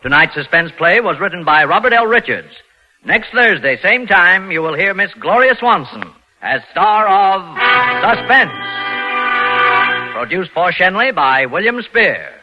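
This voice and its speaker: A man's voice: high voice, big pitch swings, unhurried. A man making projected, portentous announcements for a 1940s radio program. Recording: muffled old radio recording with loud music.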